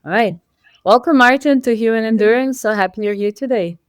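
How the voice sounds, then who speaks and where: A woman's voice: fairly high pitch, fairly quick, clean tone, dry recording, soft background music. A woman hosting a podcast, asking friendly, upbeat questions. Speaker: podcast host.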